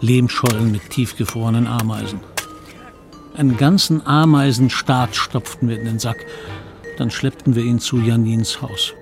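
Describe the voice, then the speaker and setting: A man's voice: mid-range voice, fairly even intonation, moderate pace, over music, close to an everyday voice. A man playing the narrator of a radio play, reflectively recalling his own boyhood with some regret. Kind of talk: scripted first-person narration in radio play.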